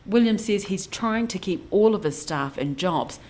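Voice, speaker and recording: A woman's voice: medium pitch, lively intonation, normal speed, a little mellow. A woman with a New Zealand accent narrating a scripted news feature as a reporter.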